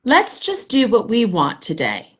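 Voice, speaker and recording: Woman acting a kind schoolteacher, very animated pitch. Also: unhurried, husky, full character voice. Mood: gentle, sad. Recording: dull lo-fi recording.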